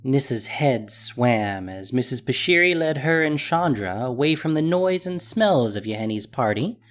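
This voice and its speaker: A narrator reading a scripted fantasy story in a dramatic storytelling style. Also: medium pitch.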